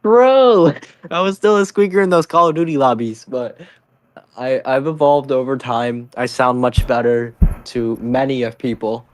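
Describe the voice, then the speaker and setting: A young man streaming and chatting casually, rambling, with his pitch jumping up when he stresses a point. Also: fairly even intonation, measured pace, no echo. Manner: rambling, swings up in pitch on emphatic lines. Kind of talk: casual chat.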